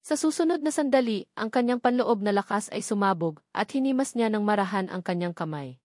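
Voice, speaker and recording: A woman's voice: medium pitch, lively intonation, a little fast, darkish tone, no echo, clean studio sound. A woman reading scripted fantasy novel narration as an audiobook narrator.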